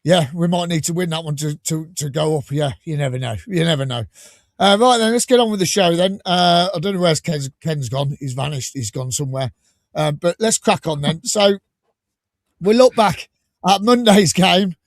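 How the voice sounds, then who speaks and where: Man, podcast host, enthusiastic, rambling, a bit croaky from a cold, higher voice. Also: normal speed, no echo, clean studio sound. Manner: enthusiastic, rambling, laughs often. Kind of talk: live fan podcast chat.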